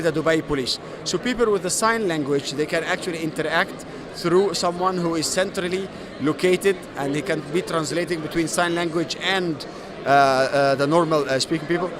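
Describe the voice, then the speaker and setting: Man from Egypt, slightly high voice, many fillers, noisy trade show floor. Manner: explains at length with frequent "uh" fillers. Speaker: solution architect. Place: busy exhibition floor.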